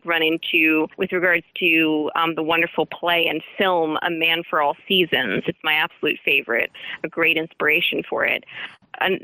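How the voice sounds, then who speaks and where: A woman's voice: lowish voice, sharp tone, no room sound, low-quality recording. A woman speaking as a guest author in an interview, explaining earnestly in digressive sentences with formal vocabulary.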